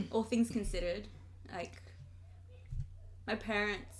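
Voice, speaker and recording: A young Australian woman chatting as a podcast guest. She sounds relaxed and conversational, with lots of "like" filler. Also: medium pitch, slow pace. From Australia (Sydney). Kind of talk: casual chat.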